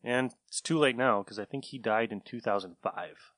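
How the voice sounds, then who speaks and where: A man's voice: mid-range voice, moderate pace, a bit bright. A man hosts a podcast in a casual, chatty, offhand way. His voice is recorded close and dry, with no room echo. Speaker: podcast host. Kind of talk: casual chat.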